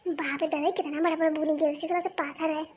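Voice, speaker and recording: A woman's voice: sits high, little pitch movement, fast, darkish tone, slight background noise, lightly acted. A woman voicing a fretful, scolding village wife and mother in a comedy cartoon, with an Awadhi accent and a wailing, exclamatory delivery. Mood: panicked lament.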